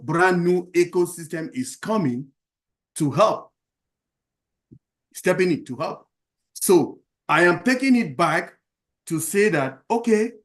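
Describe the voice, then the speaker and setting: A man from Cameroon speaking at an online round table, earnest and halting, repeating himself and pausing on fillers. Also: fairly high pitch. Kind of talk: impassioned testimonial speech.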